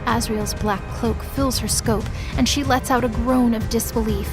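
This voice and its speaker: A woman's voice: on the high side, fairly even intonation, moderate pace. A woman reading scripted dramatic narration for a mythology audio drama. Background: music behind the voice.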